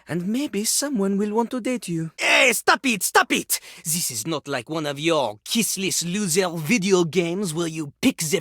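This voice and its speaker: Here is a living toilet from a video game, boastful and scornful, who fancies himself a rap genius. The male voice sits high and leaps around theatrically, full of pomp. It is recorded dry and close, with faint music underneath. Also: fairly bright tone, no room sound, exaggerated voice. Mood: smug, condescending. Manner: pompous, talks of himself in the third person.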